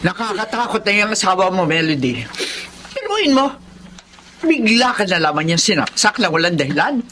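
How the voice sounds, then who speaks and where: Protective angry mom in a radio drama, tense emphatic voice, faint noise behind. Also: female voice, medium pitch, sing-song, normal speed, fairly bright tone. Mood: indignant, scolding. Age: middle-aged. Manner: emphatic, confrontational. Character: indignant, protective, scolding mother of a grown daughter. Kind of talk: Filipino radio drama acting. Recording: light background noise.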